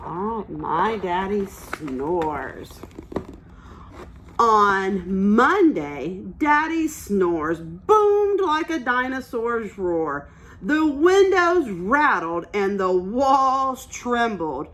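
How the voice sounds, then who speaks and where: Casting: female preschool-style teacher for an interactive story lesson, unhurried pace, encouraging and clear in her explanations to little listeners. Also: mid-range voice, big pitch swings, fairly bright tone, a little hiss or hum. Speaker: early childhood teacher reading aloud. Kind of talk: interactive read-aloud lesson for young children.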